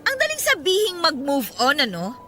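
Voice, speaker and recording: A woman's voice: high-pitched, wildly swooping pitch, moderate pace, bright, forceful tone, faint music behind, heavily acted. An actress playing an indignant, sarcastic mother who feels bitterly wronged, in an emotional radio drama style. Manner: biting, sarcastic retorts.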